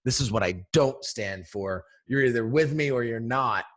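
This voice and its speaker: A man with a deep voice, a brand coach giving an energetic teaching talk straight to viewers, often checking in with "right?" and "okay?". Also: normal speed. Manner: energetic, emphatic, addresses viewers directly with frequent "right?" and "okay?". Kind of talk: instructional video talk.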